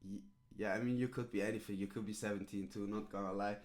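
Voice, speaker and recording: A man's voice: fairly low pitch, steady tone, fast. Rambling streamer man. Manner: rambling, filler-heavy, repeats "right?" and "literally". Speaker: streamer coaching a viewer. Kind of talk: casual live-stream chat.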